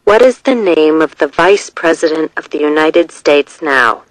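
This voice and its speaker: A narrator reading scripted test questions and short answers in a measured, deliberate way. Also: medium pitch, expressive, dry recording, rough recording.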